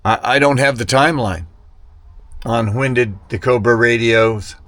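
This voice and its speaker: A man's voice: medium pitch, a little slow, a bit bright, dry recording. A man chatting casually and hesitantly to viewers as a hobbyist vlogger, often wandering off mid-thought. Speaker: vintage radio hobbyist vlogger. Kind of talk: rambling casual product chat to camera.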